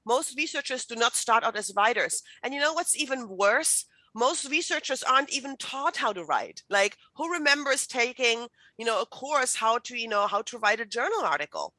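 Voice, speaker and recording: A woman's voice: a little high, lively intonation, normal speed, hardly any pauses, bright, forceful tone, no echo, rough recording. A middle-aged woman from Germany, German native, giving a chatty online writing workshop with many fillers and restarts. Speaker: writing instructor. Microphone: video call.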